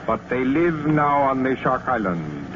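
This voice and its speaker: A man's voice: mid-range voice, very animated. An actor playing a solemn, ominous West Indian man telling island legends in grave, formal words, in old radio drama style. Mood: solemn storytelling. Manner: slow-building, grave storytelling with formal wording. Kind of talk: 1940s radio drama acting. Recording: noisy, muffled old radio recording.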